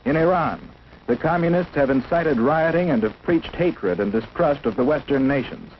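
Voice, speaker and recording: A man's voice: fairly high pitch, animated, moderate pace, slight background noise. Formal American man on dull vintage film soundtrack. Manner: formal, solemn, declarative. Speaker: educational film narrator. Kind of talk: 1950s instructional film narration, scripted.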